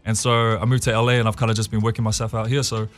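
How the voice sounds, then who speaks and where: A man's voice: on the low side, flat delivery, racing, faint music behind. A man in his thirties with a New Zealand accent, speaking as a guest on a radio show in an easygoing, chuckling way with many fillers and restarts. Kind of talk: casual radio interview. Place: radio studio.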